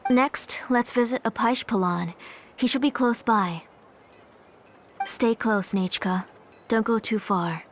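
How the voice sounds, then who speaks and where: A woman playing a gentle, caring mother in a video game, with a soft, motherly manner. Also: a little high, takes it slowly, pauses often, slight background noise.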